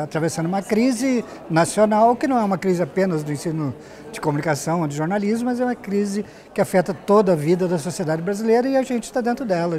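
Brazilian man in his sixties, explaining. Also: high voice. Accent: Brazilian Portuguese. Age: 60s. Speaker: interview guest, journalism professor. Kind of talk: conversational interview answers. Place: glass-walled lobby set, seated interview.

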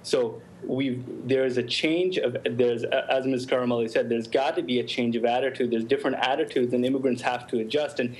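A man's voice: mid-range voice, fairly even intonation, brisk, hardly any pauses, rough recording. A man in his thirties, an immigration lawyer arguing bluntly on a televised panel over a video call. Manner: forceful, blunt, argumentative.

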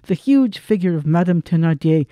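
A man's voice: on the high side, animated, normal speed, warm tone, no room sound. A man giving a theatrical, literary narration of a dramatised classic story.

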